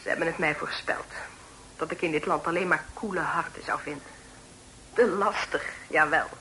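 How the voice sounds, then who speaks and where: A woman's voice: low-pitched, normal speed, pushed and bright. An actress playing an easily frightened, distressed young lady in a period radio drama, speaking formally and pleadingly. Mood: bitter, reproachful. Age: young adult. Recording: noisy old radio drama recording.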